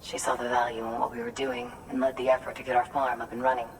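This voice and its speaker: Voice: medium pitch, level tone, fast talker, close to an everyday voice. A performer playing a kindly, earnest farmer in a video game, speaking in a folksy, effusive way. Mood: grateful, amazed. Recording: distant from mic, faint ambient noise.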